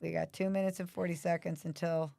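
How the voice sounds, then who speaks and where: Raspy, gravelly woman chatting. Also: fairly low pitch, little pitch movement, fairly quick, noisy background. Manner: chatty, asks many questions. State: hoarse from allergies. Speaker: livestream host. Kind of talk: casual chat watching hockey.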